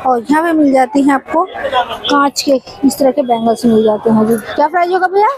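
Hoarse, high-voiced woman, walking a street market on a handheld phone and chatting about prices over a loud crowd. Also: a little fast, low-quality recording. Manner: chatty, asks vendors prices. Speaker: vlogger. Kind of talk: market walk-through vlog.

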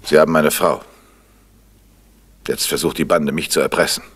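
An actor playing a determined, commanding detective in a vintage radio crime drama. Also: male voice, very deep voice, fairly even intonation, moderate pace, a bit bright, slightly rough, heavily acted. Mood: stern, threatening. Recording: noisy vintage radio recording.

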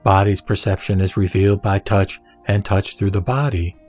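A man reading a Buddhist sutra as a scripted narration, in a measured, formal, didactic manner. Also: low-pitched, a little mellow. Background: background music.

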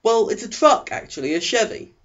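An eager, awkward small-town dad acted out by a male reader, pitched up high. He is a middle-aged police chief who coaxes in an upbeat, halting way, storybook style, on a dull recording. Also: big pitch swings, a bit bright, full character voice. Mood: eager, evasive. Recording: dull, lo-fi sound.

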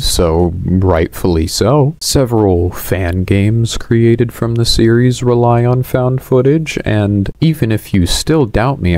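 A man in his twenties presents a video essay at an unhurried, slow pace. His voice is low and a little mellow, and he explains things conversationally into a close, dry headset mic. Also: dry recording. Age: 20s. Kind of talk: semi-scripted video essay narration. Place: small indoor room. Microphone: headset boom mic.

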